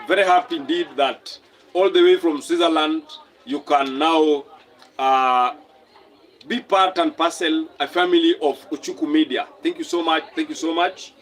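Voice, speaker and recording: A young man from Kenya runs a casual live stream, talking straight into a phone mic. His voice sits a little high for a man, and he repeats phrases and greets viewers by name as he goes. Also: a bit bright. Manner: reads viewer comments aloud and repeats phrases.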